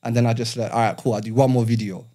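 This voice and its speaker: A man's voice: mid-range voice, fairly even intonation, racing, mellow. A man, a barber, telling his story in casual podcast chat in a studio, chatty with lots of fillers. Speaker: barber, guest.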